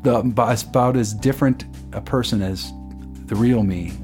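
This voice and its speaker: A man's voice: mid-range voice, unhurried, over music. A man, a songwriter guest, talking casually in an interview and explaining at length with lots of fillers. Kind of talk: casual radio interview chat.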